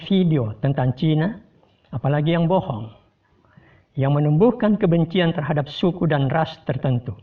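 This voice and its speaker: A man delivering a formal, measured scripted commentary to his viewers. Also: a little high. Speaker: host giving a commentary. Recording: distant tinny phone-like sound.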